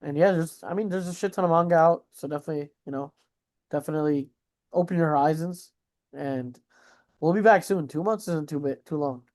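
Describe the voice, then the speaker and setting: Higher-voiced man chatting in slang. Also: brisk, darkish tone. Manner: slangy, informal, swears mildly. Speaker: podcast co-host. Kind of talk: casual chat, reacting to news.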